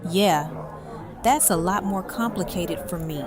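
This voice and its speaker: A woman's voice: fairly low pitch, measured pace, close to an everyday voice. A woman playing a cool, guarded but amused young woman with a dry, casual manner in an audio drama. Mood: wry, amused. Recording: music and party chatter behind.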